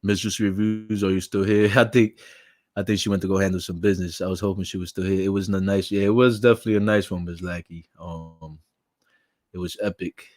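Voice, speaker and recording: A raspy, low-voiced man hosts a live stream in a dry, close-miked sound. He talks loosely in street slang and thanks his viewers one by one. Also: little pitch movement, brisk, a little mellow, dry recording. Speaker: live show host. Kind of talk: casual livestream chat.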